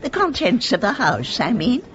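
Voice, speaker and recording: A genteel English lady in her eighties, her voice swinging very widely in pitch. She is gracious and refined, with upper-class vowels, heard on a slightly dull radio play recording. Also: huge pitch swings, normal speed, a bit bright. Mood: gracious, wistful. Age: 80s. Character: genteel, kindly elderly widowed lady employer. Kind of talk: British radio drama acting. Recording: muffled vintage radio recording.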